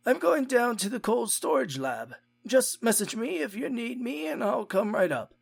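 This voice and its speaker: Man as a high, creaky pony scientist. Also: sing-song, normal speed, no echo, soft background music, full character voice. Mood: composed. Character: stern, indignant adult pony scientist. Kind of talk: audio drama acting.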